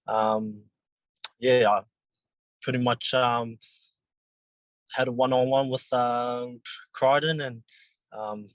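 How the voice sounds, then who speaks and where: A young New Zealand man in his twenties, a rugby league player answering interview questions in a halting, casual way with many pauses and restarts. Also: mid-range voice, fairly even intonation, dry recording, low-quality recording.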